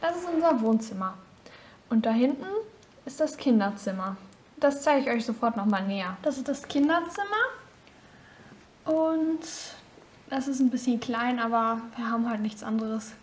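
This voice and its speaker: A woman's voice: a little high, lively intonation, normal speed, slight background noise, natural voice. A girl voicing a chatty young mother showing off her home. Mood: chatty cheerful. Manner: chatty, casual tour-guide talk. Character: cheerful mother of two, thirty-three-year-old housewife. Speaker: vlog host mother in a toy figure film. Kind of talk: homemade toy-figure vlog acting. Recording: roomy echo.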